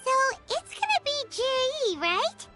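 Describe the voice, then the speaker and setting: A tiny floating game companion, with an extremely high, childlike voice. She swings animatedly through exclamations, cheerful and greedy, at an unhurried pace over playful music. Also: big pitch swings, pushed and bright, full character voice. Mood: chirpy. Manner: refers to self in third person, exclamatory, babyish. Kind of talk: video game voice acting.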